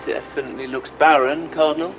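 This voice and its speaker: An actor playing a bold, brisk and cocky military captain in a science fiction audio drama. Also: male voice, fairly high pitch, steady tone, creaky, music in the background, poor audio. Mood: brisk, confident. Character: bold, brisk soldier, a captain eager for action.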